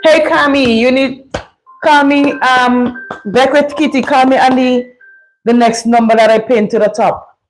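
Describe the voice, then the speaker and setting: A woman from Saint Vincent with a Vincentian accent, hosting a casual live chat, easygoing and quick to laugh. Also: high-pitched, steady tone, soft background music, rough recording. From Saint Vincent and the Grenadines. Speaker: live stream host.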